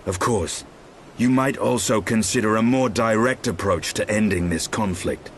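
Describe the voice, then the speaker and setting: An actor playing a grim, proud dragoon knight in a fantasy game, speaking formal archaic English in a measured, guarded manner. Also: male voice, fairly low pitch, a little hiss or hum, natural voice. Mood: cool, resolute.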